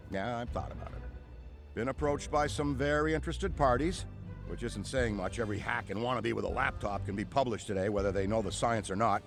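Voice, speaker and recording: Male actor as middle-aged ghost hunter for a game: bookish, nostalgic, rambling, very animated pitch, music underneath. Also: natural voice. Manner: wordy, theatrical, rambling. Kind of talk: video game cutscene monologue.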